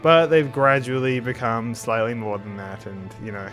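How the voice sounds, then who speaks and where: Man, average pitch, a bit nasal from a cold, rambling casual talk, soft guitar music underneath. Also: steady tone, moderate pace. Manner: rambling, hesitant, many restarts. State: slightly sick. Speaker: art channel host. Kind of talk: casual commentary over a speed drawing.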